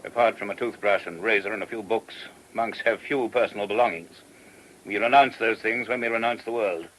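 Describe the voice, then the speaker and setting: An actor playing a formal, gently didactic abbot in a radio play. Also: male voice, lowish voice, a little hiss or hum, close to an everyday voice, low-quality recording. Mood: gently instructive. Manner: measured, formal. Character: abbot of a monastery, measured, dignified, gently didactic.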